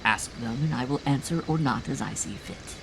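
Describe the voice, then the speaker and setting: A man voicing a heavy middle-aged farmer in a cult, a fanatic who shouts and rants in a strained, raucous voice. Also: mid-range voice, normal speed, vocal fry, background noise, lightly acted. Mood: cold. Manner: shouting fanatic, later sly and cold. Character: raving heavy male farmer cult follower.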